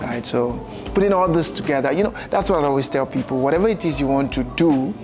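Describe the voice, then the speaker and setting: A young Nigerian man whose first language is Yoruba, a guest in a casual interview, relaxed and often adding "you know" and "um", seated in a bright lounge. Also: medium pitch, fast talker. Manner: relaxed, gestures with hands, fills with "you know" and "um". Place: bright lounge with sofas, indoors.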